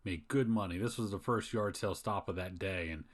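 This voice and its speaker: A man's voice: sits low, brisk. A man hosting a picking vlog, narrating casually in a folksy, informal way. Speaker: host of a yard sale picking channel. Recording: music behind the voice. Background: music.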